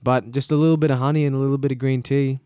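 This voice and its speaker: A man's voice: mid-range voice, little pitch movement, rapid-fire, mellow, clean tone, dry recording, clean studio sound. A young Australian man co-hosting a podcast, chatting casually, rambling a little and laughing often. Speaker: podcast co-host. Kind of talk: casual chat. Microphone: podcast microphone.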